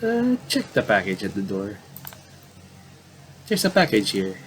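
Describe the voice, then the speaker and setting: A man with a fairly low voice talks his way through a video game in a casual style. He rambles and restarts as he reads the on-screen text aloud, recorded with some noise behind him. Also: huge pitch swings, a bit bright. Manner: rambling, self-correcting, halting. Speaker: gaming video commentator. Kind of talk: casual playthrough commentary, reading game dialogue aloud.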